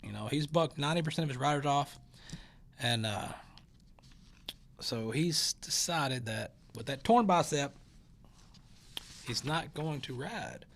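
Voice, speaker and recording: Male host, folksy, rambling, filler-heavy, casual rodeo news chat, slightly higher voice. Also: expressive, a little slow, gravelly, long pauses, fairly bright tone. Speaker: show host.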